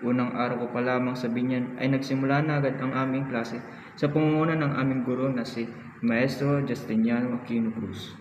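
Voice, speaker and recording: A man's voice: mid-range voice, steady tone, brisk, dull audio, lightly acted. A man playing an earnest, respectful young student who tells his story in an amateur radio drama. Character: earnest, respectful schoolboy hero telling his life. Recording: echoey hall, noticeable background noise.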